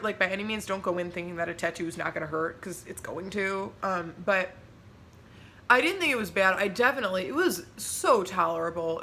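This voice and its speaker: A chatty young woman in her twenties talks straight to her viewers in a relaxed, rambling way, full of "like" and "um". Her voice is bright and a little sharp, and it leaps up when she gets excited. A faint hum of room ambience sits underneath. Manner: laughs easily, pitch jumps when excited. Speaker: vlogger. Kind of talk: casual chat to camera.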